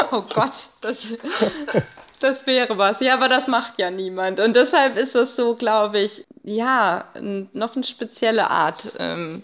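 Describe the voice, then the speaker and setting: A woman's voice: a little high, slow, a bit bright. A woman speaking as a guest expert in a casual podcast chat, explaining animatedly and often restarting her thoughts.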